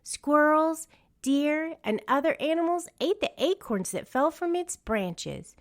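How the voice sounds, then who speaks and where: Reader lady as a kind storybook farm woman explaining stuff, slow, lilting pitch. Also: expressive, exaggerated voice. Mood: gentle, informative. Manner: explanatory, reassuring. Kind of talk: storybook character reading.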